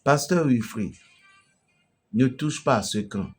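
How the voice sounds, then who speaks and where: A man from Benin with a Beninese French accent, preaching an emphatic, storytelling message to a fellow pastor. His voice swells loudly at the climaxes. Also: medium pitch, takes it slowly, husky, faint music behind. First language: a local Beninese language.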